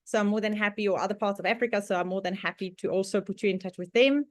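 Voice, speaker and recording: Czech woman presenting over a video call, conversational and full of fillers, mid pitch, dry. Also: rapid-fire, no echo. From Czech Republic. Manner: chatty, laughs often, many fillers and restarts. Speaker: guest presenter. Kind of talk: online club presentation.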